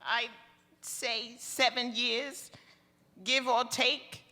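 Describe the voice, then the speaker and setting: A woman's voice: on the high side, little pitch movement, drawn-out words, pushed and bright, exaggerated voice. A woman playing a timid, simple working-class housekeeper in live radio theatre, hesitant, plaintive and deferential. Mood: baffled. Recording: reverberant hall, live stage performance.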